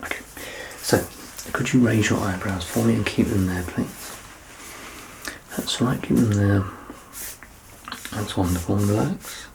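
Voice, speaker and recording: Soft-spoken deep, creaky man, ASMR doctor. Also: unhurried, pauses often. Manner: gentle, reassuring, self-deprecating, often near a whisper. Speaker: ASMR roleplayer playing a doctor. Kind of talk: soft-spoken ASMR medical exam roleplay. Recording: clear background noise and ambience.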